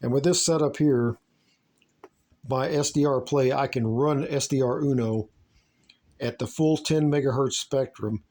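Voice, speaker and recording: Male hobbyist vlogger from the US, mid-pitched, folksy and unscripted, rambles a little. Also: unhurried, darkish tone. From United States. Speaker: shortwave radio hobbyist giving a tour. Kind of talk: casual unscripted vlog narration.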